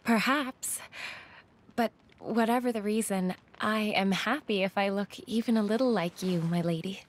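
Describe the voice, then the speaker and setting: An actress voicing a gentle, humble, devoted female attendant in a video game, soft-spoken and deferential. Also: medium pitch, moderate pace, natural voice. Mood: humble, happy. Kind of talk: video game cutscene acting.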